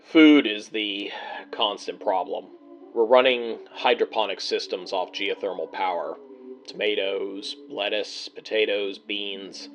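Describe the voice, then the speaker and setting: Man acting a low-voiced, grim bunker survivor. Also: steady tone, fairly bright tone, faint music behind. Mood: grim, resigned. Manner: terse, measured, bleak, with halting pauses. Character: grim, worn-down male bunker survivor keeping a log. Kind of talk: audio drama personal log narration.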